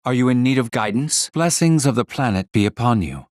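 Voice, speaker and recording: An actor voicing a gentle, reverent devotee in a fantasy video game. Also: male voice, medium pitch, animated, a little fast, no room sound, clean studio sound, lightly acted. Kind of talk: video game character lines.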